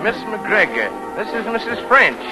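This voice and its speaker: A courteous male maître d' in a vintage radio comedy. His voice is high and lively, with formal, welcoming politeness, over clear background music. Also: a little slow. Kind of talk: 1940s radio comedy acting.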